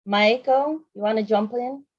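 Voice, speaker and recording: A woman hosts an online forum over a video call. She talks briskly and chats freely, thinking aloud as she sorts out the screen sharing. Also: a little high, highs cut off. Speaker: forum host. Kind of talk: casual video-call moderating. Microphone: computer on a video call.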